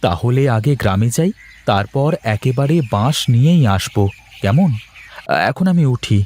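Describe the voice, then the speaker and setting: A man's voice: mid-range voice, expressive, a little slow, a little mellow. A man voicing a practical, hard-up working man in a cartoon story, speaking plainly and matter-of-factly. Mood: agreeable. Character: struggling town worker, practical younger brother returning to his village.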